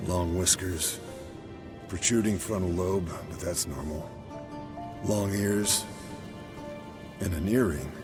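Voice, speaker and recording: An actor playing a seasoned, methodical monster hunter in a fantasy game, measured and dryly humorous. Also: male voice, deep voice. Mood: clinical, wry. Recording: music behind the voice.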